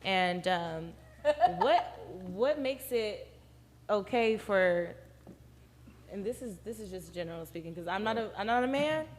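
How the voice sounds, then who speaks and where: Soft-spoken woman guest, hesitant, in a room with long reverb. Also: mid-range voice, lively intonation, unhurried, a little hiss or hum. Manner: hesitant, with fillers. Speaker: podcast guest. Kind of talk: casual group chat.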